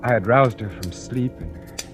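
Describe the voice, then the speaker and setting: An actor playing a weary, tormented painter who narrates and speaks in a 1940s radio drama. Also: male voice, mid-range voice, unhurried, over music, lightly acted. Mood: somber, reflective. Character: weary, tormented male painter confessing to murder. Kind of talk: 1940s radio acting, first-person narration and dialogue. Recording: old radio drama recording.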